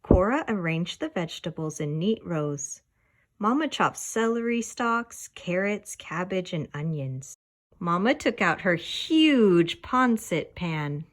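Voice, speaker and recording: Woman doing a kids book read aloud, really slow n deliberate, bit low voiced, expressive. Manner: expressive, deliberate storytelling delivery. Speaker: storybook reader. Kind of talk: scripted children's story read-aloud.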